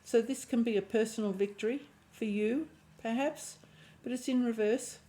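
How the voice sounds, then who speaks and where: A woman with a croaky voice and a lingering cough giving an intuitive tarot reading, thinking aloud and often restarting her phrases. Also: on the high side, normal speed, warm tone. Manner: musing aloud, restarting phrases, clearing throat. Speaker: tarot reader.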